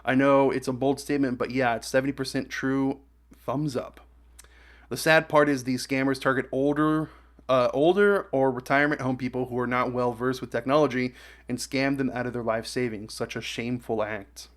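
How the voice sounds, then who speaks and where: A man giving a casual reaction as a video host, reading comments aloud in a halting, stumbling way. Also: mid-range voice, fairly even intonation.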